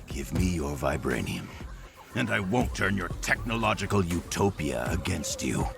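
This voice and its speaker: An actor playing a gloating, menacing mercenary villain in a video game, taunting with a drawl. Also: male voice, low-pitched, very animated, moderate pace, gravelly, over music, full character voice. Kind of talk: cinematic video game acting.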